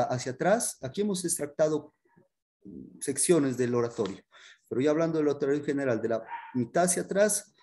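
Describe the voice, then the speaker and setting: A man teaching a music history class in an informal, halting explanatory way, often checking in with his students. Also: medium pitch, darkish tone, slight background noise.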